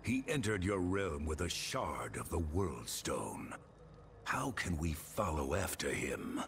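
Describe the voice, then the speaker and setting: A resolute fantasy game warrior with a deep, breathy rasp. The delivery is slow and solemn yet swings widely in pitch. Music plays behind him. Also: male voice, sing-song, a little hiss or hum, exaggerated voice. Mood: grave, urgent. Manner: solemn, measured. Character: grim, resolute adult male warrior hunting an enemy. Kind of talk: fantasy video game voice acting.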